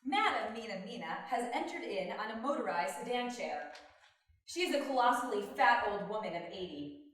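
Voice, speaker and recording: A woman's voice: fairly high pitch, big pitch swings, fairly quick, a bit bright. A woman reader giving a theatrical scripted reading of a stage play. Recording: very echoey room, far from microphone.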